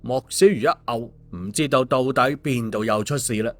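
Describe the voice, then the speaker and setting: A male audiobook storyteller, narrating a scripted tale at an ordinary middle pitch. Soft music plays behind his voice. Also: normal speed. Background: background music.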